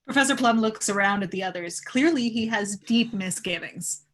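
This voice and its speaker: A woman's voice: medium pitch, normal speed, a bit bright. A woman reading stage directions as scripted narration at a table read.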